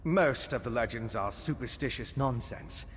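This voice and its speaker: An actor playing a cautious, scholarly gentleman adventurer in a video game, polite, measured and articulate. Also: mid-range voice, lightly acted. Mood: thoughtful. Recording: long cavernous reverb, faint background noise.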